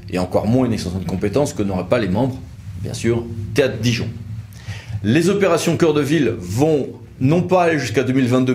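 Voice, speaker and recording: A male host with a voice of average pitch. He presents in a scripted briefing style and puts formal questions as an interviewer. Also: a little mellow, a little hiss or hum.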